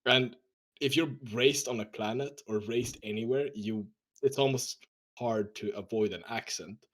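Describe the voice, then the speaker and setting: A man's voice: medium pitch, unhurried. A man from Sweden with a Swedish accent, hosting a casual roundtable podcast and talking with hesitant fillers.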